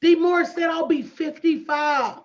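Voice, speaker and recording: High-voiced woman, emphatic motivational coach. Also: measured pace. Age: late 40s. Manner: emphatic, preacher-like repetition with direct address and folksy slang. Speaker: motivational business coach hosting a livestream. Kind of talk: motivational coaching talk to a live online audience. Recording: dull lo-fi livestream audio.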